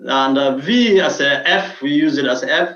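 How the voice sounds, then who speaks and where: A man's voice: a little high, moderate pace, bright, forceful tone. Guy, hesitant, lots of uh, echoey dull sound. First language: Somali. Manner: hesitant, many fillers. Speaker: guest language instructor. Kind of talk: explanatory conversation.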